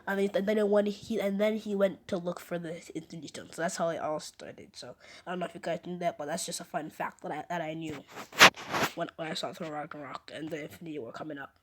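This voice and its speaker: Brief: a slightly low-voiced woman reacting to a video, rambly and congested from a cold. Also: fast talker, noisy background. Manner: rambling, many restarts, excited fun facts. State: has a cold, sore throat. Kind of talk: casual chat.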